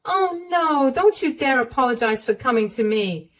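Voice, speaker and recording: Protective older mother-in-law, higher voice, warm, reassuring, fierce, put on by a woman narrator. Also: expressive, normal speed, rough recording. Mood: soothing.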